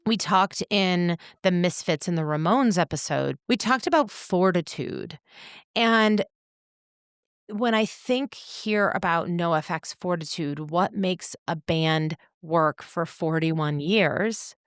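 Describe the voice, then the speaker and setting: A woman co-hosting a podcast, talking reflectively in an earnest, deliberate way with emphatic phrasing and pauses. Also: lowish voice, smooth, no room sound, studio quality. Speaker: podcast co-host.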